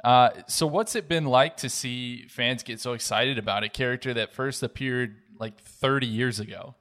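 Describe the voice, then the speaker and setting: A man's voice: mid-range voice, normal speed, a bit bright. A man hosting a casual interview podcast, leading into questions conversationally. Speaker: podcast host.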